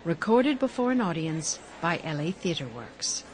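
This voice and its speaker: A woman's voice: fairly low pitch, sing-song, unhurried, a little hiss or hum. A woman announcer reading a scripted introduction to a play.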